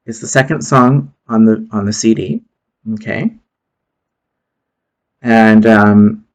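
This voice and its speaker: A man with a cold, sounding congested and nasal, talking in a casual, rambling monologue full of fillers and restarts, with low energy. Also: creaky, rough recording. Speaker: channel host.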